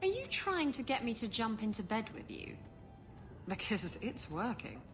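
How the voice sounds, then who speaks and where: A brash, flirty female pirate captain talks in a saucy, playful way with a very lively melody. Faint music runs behind this video game dialogue. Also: fairly high pitch, normal speed. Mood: teasing. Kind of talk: video game cutscene acting.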